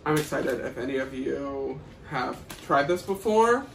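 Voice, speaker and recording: A man's voice: mid-range voice, animated, measured pace, gravelly. A young man co-hosting a casual vlog, chatting playfully and colloquially to the camera. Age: young adult. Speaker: vlog co-host. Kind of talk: casual grocery haul chat to camera. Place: small kitchen at home, indoors. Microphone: camera a few feet away. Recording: roomy, distant mic, faint noise.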